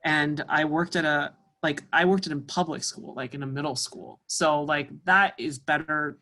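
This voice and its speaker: A woman's voice: low voice, fairly even intonation, moderate pace, pushed and bright. A woman from Canada, a musician guest, talking casually in an interview with many fillers.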